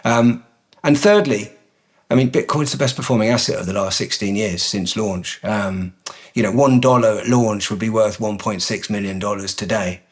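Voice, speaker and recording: Man explaining with measured, halting delivery. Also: fairly low pitch. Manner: measured, data-heavy, frequent ums and restarts. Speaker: company executive director. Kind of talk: business interview answers.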